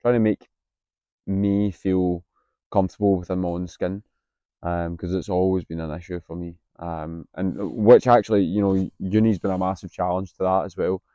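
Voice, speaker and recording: Scottish guy late 20s vlogging outside, husky and low, kinda muffled sound, lots of ums. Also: fairly even intonation, normal speed, warm tone, dry recording. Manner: hesitant, lots of ums, candid and reflective. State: husky from being unwell. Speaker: vlogger, university student. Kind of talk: casual vlog to camera. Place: outdoors on a campus.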